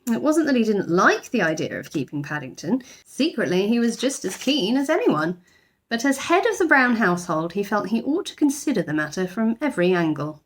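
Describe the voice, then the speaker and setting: A woman reads a children's story aloud in the expressive way of a teacher. Her voice sits at a middling pitch and moves about with very animated intonation. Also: fairly quick.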